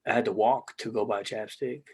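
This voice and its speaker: A man co-hosting a podcast in casual chat, hoarse from losing his voice, joking loosely and swearing freely. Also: lowish voice, fairly even intonation, fairly quick, a little mellow. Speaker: podcast co-host.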